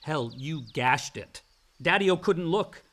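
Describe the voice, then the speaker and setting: A man's voice: on the high side, expressive, takes it slowly, fairly bright tone. An actor playing a brooding, angry grown older son in a naturalistic radio drama, blunt and informal in his talk. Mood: wry, reflective.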